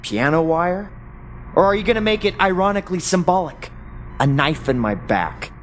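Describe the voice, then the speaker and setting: An actor in an audio play as a bewildered, sarcastic secret agent who talks in an agitated, demanding way. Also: male voice, high voice, lively intonation, normal speed, a little hiss or hum. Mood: bitter, suspicious. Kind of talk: audio drama acting.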